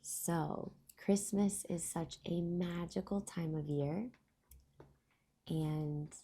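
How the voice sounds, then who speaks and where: A woman hosting a children's storybook read-aloud, speaking in deliberate phrases and explaining things to young listeners. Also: fairly low pitch, vocal fry, warm tone, slight background noise.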